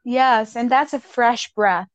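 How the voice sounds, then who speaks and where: A woman's voice: a little high, slow pace, no echo. A woman ranting bluntly and profanely in a casual chat as a podcast co-host.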